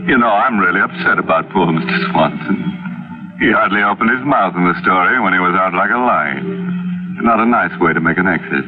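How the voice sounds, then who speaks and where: An actor playing a droll, mock-mournful mystery host, wry and theatrical in 1940s radio horror style. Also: male voice, fairly low pitch, natural voice. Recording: tinny vintage radio recording with music.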